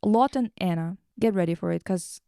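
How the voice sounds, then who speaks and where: A woman's voice: lowish voice, normal speed, vocal fry, dark tone, no echo, studio quality. A woman hosting a podcast in casual chat, slangy, sweary and irreverent as she recaps and reads things aloud. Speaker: podcast host.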